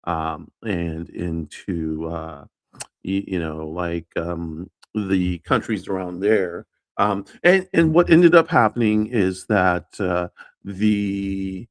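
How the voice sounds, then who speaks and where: A man with a very deep voice talks slowly through an early-morning livestream. He hesitates often, fills gaps with ums and uhs and restarts his words. The sound is close and dry, with no room around it. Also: dry recording. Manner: halting, many fillers and restarts; unsure with names and pronunciations. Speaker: livestream host. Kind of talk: casual livestream chat.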